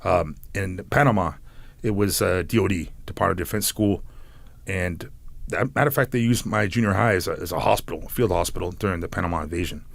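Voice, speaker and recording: A low, gravelly man in his forties, from San Diego, talks through his stories as a podcast guest. He rambles and laughs between phrases, close to the mic in a dry room. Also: brisk, no room sound. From San Diego, United States. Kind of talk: casual storytelling.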